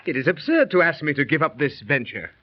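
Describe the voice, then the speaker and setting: An actor playing a bold, mocking aristocratic explorer with hearty, theatrical 1930s radio delivery. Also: male voice, on the high side, sing-song, a little fast. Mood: amused, dismissive. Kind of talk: 1930s radio drama acting. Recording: dull, hissy 1930s radio recording.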